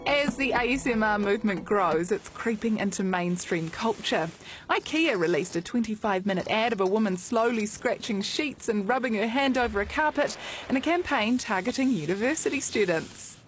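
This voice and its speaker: A woman's voice: mid-range voice, lively intonation, normal speed, slight background noise. A woman with a New Zealand accent, narrating a scripted television feature as its reporter. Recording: music bed behind voice. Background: music.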